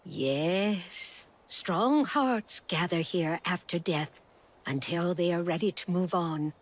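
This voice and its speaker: A woman playing a kindly, mysterious older enchantress in a video game, gentle, knowing and coaxing. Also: lowish voice, takes it slowly. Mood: gentle, assured. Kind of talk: scripted video game cutscene acting.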